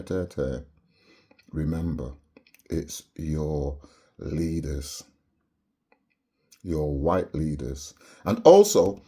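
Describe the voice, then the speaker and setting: A man's voice: low voice, warm tone, husky. A Barbadian man delivering an impassioned, preachy monologue to camera, peppering his points with rhetorical "yeah?" tags. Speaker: vlogger.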